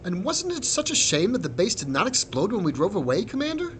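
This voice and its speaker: A man's voice: sits high, wildly swooping pitch, a little fast, a bit bright, slight background noise, voice pushed up, full character voice. A man voicing a chatty, moralizing admiral in a war story, with a wry, pointed way of talking. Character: chatty, moralizing male admiral, a nut soldier.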